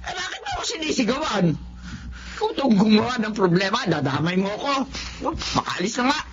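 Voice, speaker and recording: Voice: mid-range voice, big pitch swings, brisk, dull audio, a crowd in the background, natural voice. A performer with a Filipino accent playing an irritable, nagging middle-aged mother in a Tagalog radio drama. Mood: irritated, scolding.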